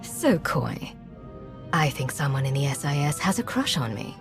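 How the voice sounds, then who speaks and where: An actor playing a cool, self-assured intelligence agent in a video game, with a deliberate, assured delivery. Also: male voice, fairly high pitch, big pitch swings, over music. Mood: teasing. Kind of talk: video game cutscene acting.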